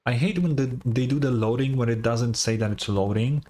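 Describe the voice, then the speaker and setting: Blunt, husky-voiced male streamer. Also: medium pitch, moderate pace, warm tone. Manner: blunt, sweary, muttering asides. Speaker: streamer reacting to a game story. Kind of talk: casual reaction commentary. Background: faint game music.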